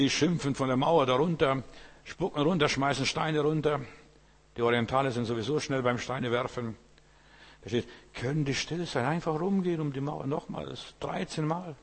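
A man from Lithuania, whose first language is Lithuanian, preaches in a husky, mid-pitched voice. He talks to the congregation like a conversation and keeps checking whether they follow. His voice carries a long hall reverb. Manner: conversational, keeps asking "verstehst du?". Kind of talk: informal sermon.

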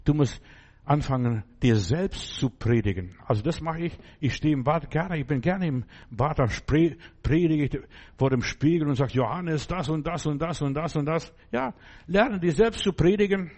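A man's voice: a little high, expressive, normal speed, darkish tone. A man preaching a fervent, emphatic sermon in German, which is not his first language, repeating phrases for emphasis.

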